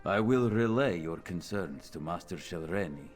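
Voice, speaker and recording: A man's voice: low voice, lively intonation, normal speed, soft background music. A man playing a wary, sardonic tower official with a dry, formal manner in a fantasy video game. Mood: dry, guarded. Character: wary, dry male tower official serving an aloof wizard.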